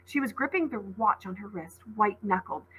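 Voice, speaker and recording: A woman's voice: medium pitch, lively intonation, fairly quick, bright, forceful tone, slight background noise, poor audio. A woman teacher reading a storybook aloud expressively and dramatically, with asides to her listeners.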